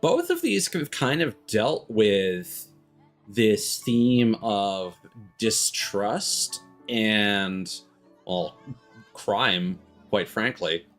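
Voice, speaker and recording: A man with a fairly low voice talks casually over game music as he streams. He rambles, backs up to correct himself and swears freely. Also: sing-song, very slow, a bit bright.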